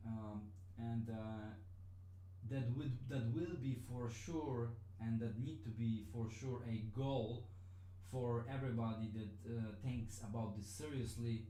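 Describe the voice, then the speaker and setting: A man's voice: mid-range voice, fairly even intonation, takes it slowly, warm tone, echoey room, poor audio. A man whose first language is Polish, teaching a live-streamed lesson in a hesitant way, with many fillers and restarts. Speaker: online business trainer.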